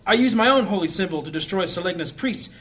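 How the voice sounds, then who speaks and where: An actor playing an earnest, solemn priest in a fantasy audio drama, speaking gravely. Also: male voice, high voice, lively intonation, fast talker, a bit bright. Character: earnest, guilt-ridden priest, a solemn holy man.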